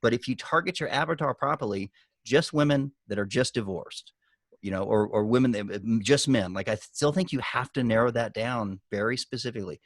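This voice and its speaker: A man's voice: mid-range voice, moderate pace, no room sound. A man giving business advice on a group video call as a guest coach, talking conversationally and emphatically, with anecdotes.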